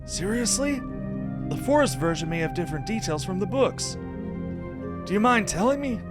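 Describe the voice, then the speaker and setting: A man voicing an eager, bookish male travel companion in a visual novel, with an excited, wonder-struck manner. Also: high-pitched, moderate pace, music in the background, voice pushed up.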